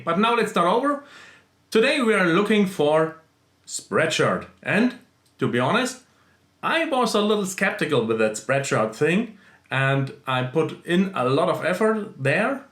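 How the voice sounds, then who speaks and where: A man's voice: on the high side, very animated, unhurried, fairly bright tone. Central European man in an echoey room. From small country in central Europe. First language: not English. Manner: earnest, plain-spoken, frequent fillers and restarts. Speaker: side-hustle vlogger. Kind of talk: casual screen-share explainer.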